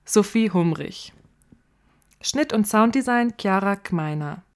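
A woman's voice: mid-range voice, animated, slow pace. A woman performing in an audio play as a dry, teasing young woman, in an everyday voice. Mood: announcing. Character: dry, teasing young woman travel companion, playing herself. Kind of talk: audio play dialogue and credits reading.

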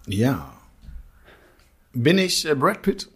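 A man explaining the rules of a guessing game, then playing it in relaxed, joking chat, laughing often. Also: medium pitch, extremely slow, slightly rough.

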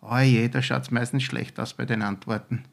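A man's voice: mid-range voice, little pitch movement, moderate pace, vocal fry. An older man with an Austrian accent, a guest chef talking enthusiastically in a podcast chat, with many restarts.

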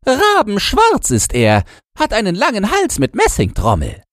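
A man voicing a boastful, nostalgic old chained dog in a shrill, yapping falsetto for a storybook. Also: wildly swooping pitch, a little slow, no echo, clean studio sound, full character voice. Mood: excited, knowing. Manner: knowing, exclamatory, barking.

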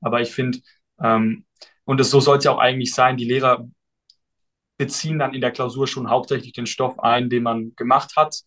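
A young man, a guest on a podcast, answering questions in a relaxed and thoughtful way, with frequent fillers. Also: mid-range voice, moderate pace. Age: young adult. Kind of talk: casual podcast interview.

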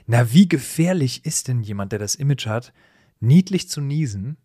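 Male podcast co-host, lively intonation, explanatory yet playful and prone to chuckling, dry close sound. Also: mid-range voice, moderate pace, slightly rough, no room sound. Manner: animated, explanatory, often chuckling while talking. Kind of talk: casual humorous podcast conversation.

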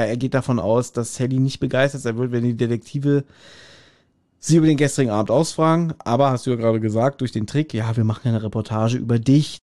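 Male podcast co-host, warm mellow tone, informal storytelling and review, bone-dry sound. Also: medium pitch, no room sound. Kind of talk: casual chat retelling and reviewing a story.

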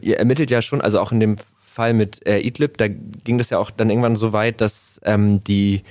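Man, host, fairly low voice, rambling questions, casual, dry close sound. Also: darkish tone, dry recording. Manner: fluent, long rambling questions with fillers. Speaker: podcast host. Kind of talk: interview conversation.

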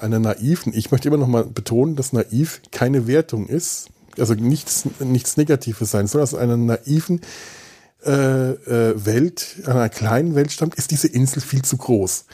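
A man speaking casually as a podcast host, thinking aloud in a halting way and repeating words as he searches. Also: mid-range voice, mellow, slightly rough.

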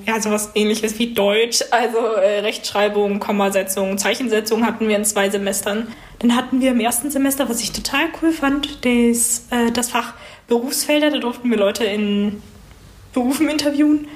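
A woman's voice: on the high side, fairly even intonation, normal speed. A woman in her twenties giving casual, chatty answers as a student being interviewed. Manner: chatty, informal.

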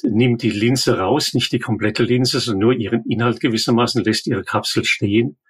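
A man's voice: mid-range voice, moderate pace, slightly rough. An older man, an eye doctor, explaining matter-of-factly in an interview. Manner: calm, explanatory, matter-of-fact. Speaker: ophthalmologist guest. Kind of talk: expert interview.

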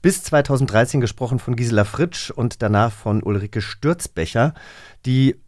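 Male co-host, animated storyteller, dry studio sound. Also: mid-range voice, normal speed, no echo. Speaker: podcast co-host. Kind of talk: casual chat and storytelling.

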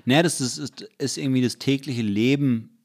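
A man's voice: medium pitch, a little fast. A man from Germany in casual chat, telling stories with many restarts and fillers. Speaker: conversation partner.

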